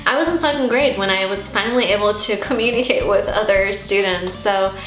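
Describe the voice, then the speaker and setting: A woman's voice: mid-range voice, fairly quick, fairly bright tone. Young woman vlogger in an echoey room. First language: Armenian. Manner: earnest, encouraging. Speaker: YouTube host answering viewer questions. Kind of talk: casual question-and-answer vlog. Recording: roomy echo, music behind.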